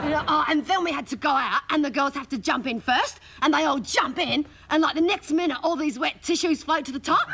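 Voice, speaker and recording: A woman comedian playing a gossipy, indignant, cheeky Australian schoolgirl, telling rambling slangy stories in a stand-up monologue. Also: high-pitched. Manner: breathless rambling slangy storytelling. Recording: live stage, loud audience laughter and noise. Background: loud laughing crowd.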